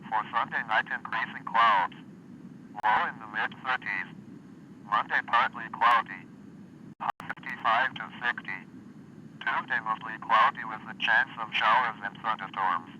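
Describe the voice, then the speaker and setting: A man's voice: fairly low pitch, a little slow. Synthesized male weather radio voice. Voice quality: synthesized computer voice. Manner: even, clipped, word-by-word delivery. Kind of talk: automated weather broadcast reading. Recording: dull lo-fi radio recording with faint hiss.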